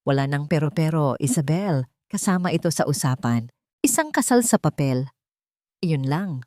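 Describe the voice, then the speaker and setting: Woman voicing an earnest, low-pitched determined man. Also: lively intonation, normal speed, dark tone, no room sound, studio quality. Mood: firm, resigned. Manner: serious, insistent, pleading. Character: earnest, determined young man proposing a marriage of convenience.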